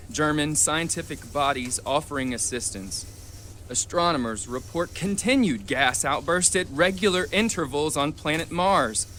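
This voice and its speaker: An actor playing a serious studio newsreader in a radio drama, relaying bulletins in a formal, telegraphic manner. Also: male voice, a little high, a bit bright, a little hiss or hum.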